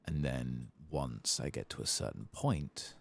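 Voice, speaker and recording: A man giving soft-spoken ASMR commentary on a video game, unhurried and often slipping into a breathy whisper. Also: a bass voice, expressive.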